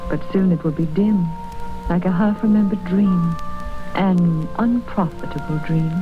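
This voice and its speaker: An actress playing a gentle, sorrowful but resolute young woman in a 1930s radio drama, measured and formal, on a dull old broadcast. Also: on the low side, lightly acted. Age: young adult.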